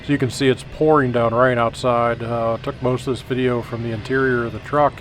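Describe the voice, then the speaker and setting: A man's voice: mid-range voice, steady tone, brisk, a little hiss or hum. A man hosting a railfan video, narrating in a casual, conversational way. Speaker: host of a railfan video channel.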